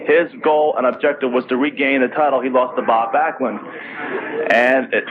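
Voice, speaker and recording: Male audience questioner, courteous and keen, tinny old radio audio, crowd behind. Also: mid-range voice. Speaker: audience member asking questions. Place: live venue broadcast.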